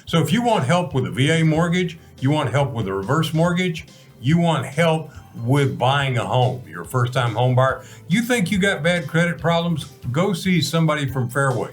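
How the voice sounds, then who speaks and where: A man's voice: a little high, expressive, normal speed, soft background music. Older american guy in his 70s hosting a talk show, folksy, repeats stuff for emphasis. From Texas, United States.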